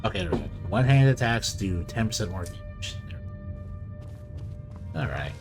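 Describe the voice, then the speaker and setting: A man's voice: lowish voice, big pitch swings, normal speed. A man giving casual gameplay commentary as the host of a gaming video. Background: game music.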